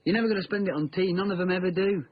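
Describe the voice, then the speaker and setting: A man's voice: high-pitched, very fast, no room sound, lo-fi sound. A man playing a defensive, cynical young slacker with a British accent in naturalistic drama dialogue. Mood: dismissive, scornful.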